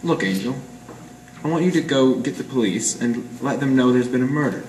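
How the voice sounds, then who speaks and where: An amateur actor playing a hard-boiled 1940s private eye in a radio noir, clipped, deadpan and slangy. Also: male voice, medium pitch, a little fast, natural voice. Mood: urgent, commanding. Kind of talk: 1940s radio noir acting with first-person narration. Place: live presentation in a roomy gallery. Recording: echoey room, distant mic, faint noise.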